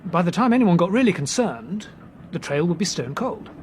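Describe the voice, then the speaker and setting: An actor playing a breezy, quick-witted young Englishman, jaunty and theatrical, in a British radio drama. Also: high-pitched, big pitch swings, a little hiss or hum. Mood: jaunty, teasing. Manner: jaunty, teasing, theatrical storytelling.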